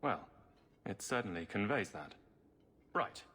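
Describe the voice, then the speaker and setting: A man's voice: lowish voice, sing-song, measured pace, sharp tone, husky, exaggerated voice. An actor playing a polite, mild, bemused city guardsman in a fantasy video game, courteous and a little hesitant. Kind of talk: dramatic video game acting.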